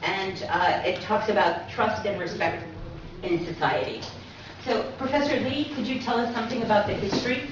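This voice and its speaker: A woman presents a public lecture in an explanatory way, with a medium-pitched voice. She is heard from far off in a heavily reverberant room, with clear noise behind her. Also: moderate pace. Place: echoey indoor lecture room. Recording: very echoey room, distant mic, muffled, noisy.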